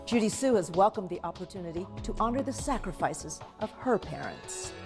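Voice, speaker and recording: A woman hosting and narrating a news feature in a measured, scripted reporting delivery. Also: mid-range voice, expressive, music in the background. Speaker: program host, narrator.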